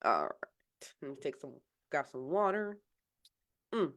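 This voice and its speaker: A woman's voice: sits low, big pitch swings, measured pace. A woman teaching a live mentoring session while getting over a cold, explaining in a casual, rambling way with many fillers and restarts. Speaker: software engineering mentor.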